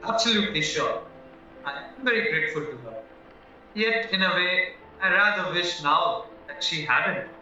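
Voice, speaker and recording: A man's voice: high voice, animated, moderate pace, sharp tone, exaggerated voice. A man acting in an audio play as a naive, anxious young husband accused of murder, earnest and rambling as he protests. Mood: astonished. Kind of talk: stage-style audio drama acting. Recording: very echoey, muffled, distant, music underneath.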